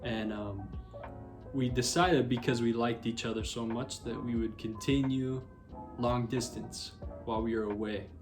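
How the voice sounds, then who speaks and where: A man's voice: medium pitch, fairly even intonation, moderate pace, over music. A young man from Colorado co-hosting a casual couple chat, easygoing and joking as he tells a story. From Colorado, western United States. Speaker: co-host.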